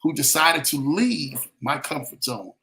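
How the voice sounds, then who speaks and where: A man from New Orleans speaks in a fairly high voice with a clearly echoing, roomy sound. He coaches listeners in an emphatic, preacherly way, addressing them directly as a motivational podcast guest. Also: lively intonation, measured pace, pushed and bright. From New Orleans, United States. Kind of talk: motivational talk in a podcast interview.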